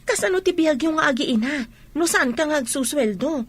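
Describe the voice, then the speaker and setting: An actress playing an inquisitive, gossipy friend in an Ilocano radio drama, speaking in an inquiring way. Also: female voice, high-pitched, expressive, moderate pace. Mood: curious. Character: inquisitive, gossipy female friend of a soldier's wife.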